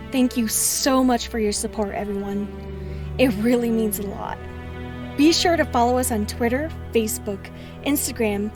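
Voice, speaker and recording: A woman from a show's cast giving friendly podcast announcements to listeners. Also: a little high. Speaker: show cast member making announcements.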